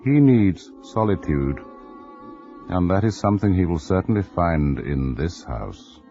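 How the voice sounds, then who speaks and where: Very deep, sinister radio storyteller. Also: male voice, lively intonation, creaky, dark tone, dull audio, soft background music, full character voice. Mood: ominous. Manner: ominous, deliberate, theatrical. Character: sinister, macabre male storyteller host. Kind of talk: scripted radio horror narration.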